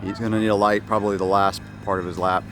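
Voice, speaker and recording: A man talking casually and upbeat to his camera at an outdoor race, his voice a bit hoarse from yelling. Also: fairly low pitch, level tone, fast talker, slight background noise. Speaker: vlogger. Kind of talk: casual vlog narration.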